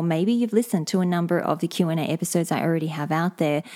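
A woman, a leadership coach, talking alone on her podcast, explaining things in a steady, measured way. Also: medium pitch. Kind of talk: solo podcast monologue.

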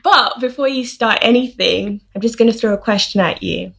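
A woman hosting a podcast, bubbly and teasing, firing quick reactions and questions at her guest. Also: on the high side, gravelly, a bit bright, slightly rough. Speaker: podcast host. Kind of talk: casual interview chat.

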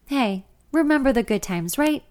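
A woman's voice: mid-range voice, sing-song, moderate pace, lightly acted. A woman voicing a smug, condescending male country musician in a story, taunting in manner.

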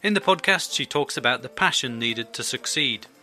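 A man with a bright, forceful voice hosts an interview podcast. He asks questions haltingly, with many fillers. Faint music runs under his intro. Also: medium pitch, expressive. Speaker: podcast host.

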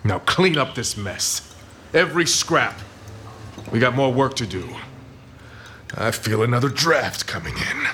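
A man's voice: mid-range voice, expressive, unhurried, pauses often, pushed and bright. A man acting a domineering, scheming crime boss, in a hard-boiled comic audio drama. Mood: commanding, menacing. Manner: theatrical, commanding. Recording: faint background noise.

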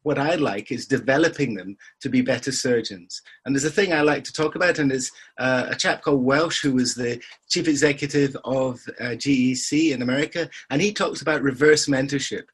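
Husky older man, dry humour. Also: mid-range voice, a little fast, few pauses. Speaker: surgeon and podcast guest. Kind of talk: conversational interview.

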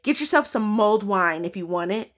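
A woman's voice: medium pitch, lively intonation, brisk. A woman co-hosting a podcast, chatting playfully and laughing easily. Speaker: podcast co-host. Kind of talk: casual chat.

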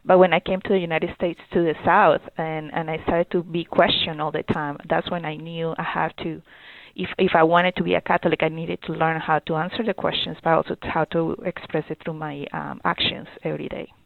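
Earnest woman from Colombia, gravelly voice. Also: sits low, little pitch movement, no room sound. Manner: earnest, halting, with many fillers and restarts. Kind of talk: interview answers. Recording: dry, phone-like narrow sound.

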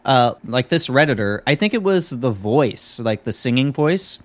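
A man's voice: mid-range voice, sing-song, normal speed. A man hosting a podcast in a casual, conversational chat style. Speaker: podcast host.

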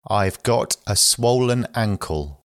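A man reading English phrases slowly and carefully for learners, saying each one twice. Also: on the low side, no room sound, clean studio sound. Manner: slow, careful, even delivery.

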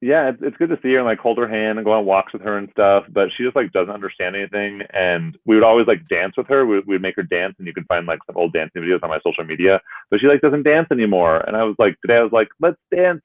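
A young man co-hosting a podcast in casual chat, rambling quickly and filling his talk with "like.". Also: on the low side, lively intonation, no room sound. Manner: quick, rambling, says like a lot. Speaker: podcast co-host. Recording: dry, tinny phone-like line.

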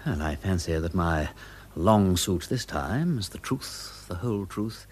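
An actor playing a breezy aristocratic English gentleman detective in a radio drama, with wordy, flowery asides. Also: deep voice, lively intonation, a little slow, slight background noise. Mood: brisk, cheerful. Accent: upper-class English. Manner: breezy, flowery phrasing, drawling rhetorical asides.

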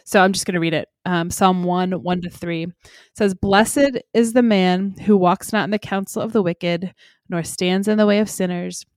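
A woman's voice: mid-range voice, a little mellow, dry recording, clean studio sound. A woman co-hosting a casual faith podcast, reading verses aloud expressively. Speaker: podcast co-host.

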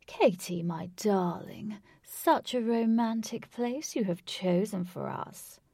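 A woman voicing a theatrical, delusional male stalker who speaks in a grand, drawn-out way. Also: mid-range voice, creaky, dark tone, breathy edge, dry recording, studio quality, exaggerated voice. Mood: grandiose.

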